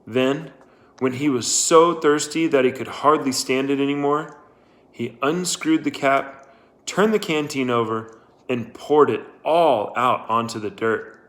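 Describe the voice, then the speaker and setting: Male narrator for a novel read-aloud, mid-range pitch, very unhurried delivery. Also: fairly bright tone. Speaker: audiobook-style narrator.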